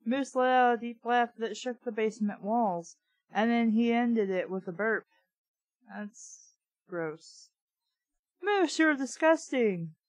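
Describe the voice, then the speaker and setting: A woman's voice: a little high, fairly even intonation, slow pace, darkish tone, dry recording. A woman reading a story aloud, breaking in with blunt, sarcastic, casual asides. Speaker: audiobook reader and commentator.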